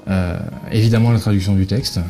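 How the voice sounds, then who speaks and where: A man's voice: fairly low pitch, steady tone, a little fast, music in the background. A man in his thirties, an interview guest explaining his work casually in hesitant, broken-up phrases. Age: 30s. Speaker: guest, Japanese-French translator.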